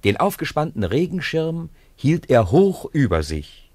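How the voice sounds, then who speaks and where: A man narrating a 1950s radio play in an expressive storytelling manner. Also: fairly high pitch, measured pace, no room sound. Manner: expressive storytelling with lively intonation.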